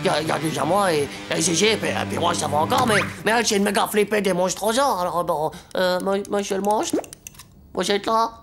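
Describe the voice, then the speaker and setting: A woman voicing a cheeky, boastful young schoolboy in an animated cartoon, with slangy, dramatic delivery. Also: medium pitch, brisk, over music, close to an everyday voice. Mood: nervous. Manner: slangy, hesitant stammering, dramatic. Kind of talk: animated children's cartoon acting.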